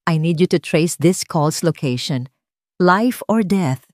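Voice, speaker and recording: A woman plays a driven Filipino male boss in an audiobook drama. He speaks with firm, calm authority, every line an order, in a dry recording. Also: on the low side, takes it slowly, no room sound. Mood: urgent, commanding. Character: commanding, driven male boss on an urgent rescue. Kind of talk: audiobook character acting.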